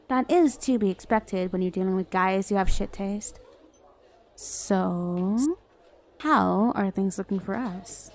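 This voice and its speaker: A woman's voice: medium pitch, lightly acted. A woman playing a flirty, self-justifying villain in an ASMR roleplay, talking in a casual, playful, sardonic way. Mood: teasing, amused. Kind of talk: acted ASMR roleplay monologue.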